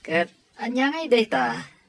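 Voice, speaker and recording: A woman playing a soft-hearted, self-sacrificing grown man, a devoted uncle, in an audio story drama. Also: on the high side, noisy background, exaggerated voice. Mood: touched, pleased.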